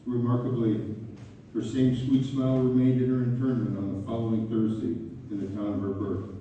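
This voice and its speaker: A man's voice: mid-range voice, level tone, warm tone, natural voice. A man playing an elderly widowed father who reads out a formal, tender letter in a staged historical play. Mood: solemn, fond. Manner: formal, measured letter reading. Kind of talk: dramatic read-through of a historical play. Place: community theater hall. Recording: echoey hall, distant mic, faint noise.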